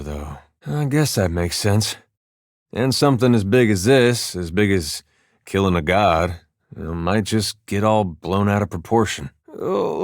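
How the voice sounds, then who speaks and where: An actor playing an easygoing, wry farmer turned war veteran adventurer in a video game, with a folksy, drawling, dryly humorous delivery. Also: male voice, medium pitch, no room sound, clean studio sound. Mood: somber, pondering. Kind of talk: video game character dialogue.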